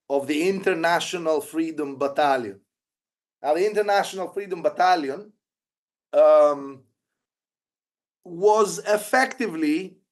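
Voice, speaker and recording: A man with a Greek accent, answering interview questions at length with frequent fillers and restarts. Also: a little high. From Greece. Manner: hesitant, many fillers and restarts. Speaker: guest filmmaker.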